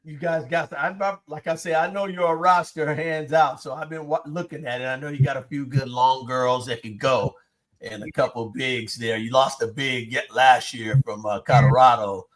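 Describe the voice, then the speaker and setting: A man's voice: medium pitch, fairly even intonation, normal speed, a bit bright, low-quality recording. A man hosting a podcast interview, chatting in a friendly, joking way and laughing easily. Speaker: podcast host. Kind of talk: casual interview chat.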